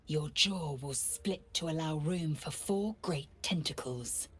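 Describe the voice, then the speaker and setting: A woman playing a stern, haughty alien warrior in a fantasy video game, speaking in a clipped, disdainful, commanding way with a husky rasp. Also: sits low, slow, exaggerated voice. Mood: grim, stern. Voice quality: husky, raspy.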